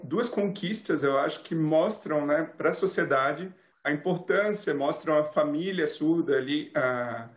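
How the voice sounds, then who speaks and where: A male TV interviewer with a slightly raised pitch poses measured questions and leans on tag questions. His voice carries a noticeable room echo. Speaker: interviewer, TV host.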